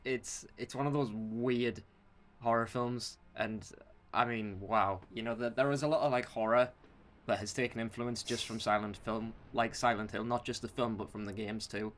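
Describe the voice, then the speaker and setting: A man's voice: mid-range voice, steady tone, normal speed. A man co-hosting a podcast, giving emphatic opinions in casual chat and repeating himself to make a point. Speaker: podcast co-host. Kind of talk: casual film and game chat.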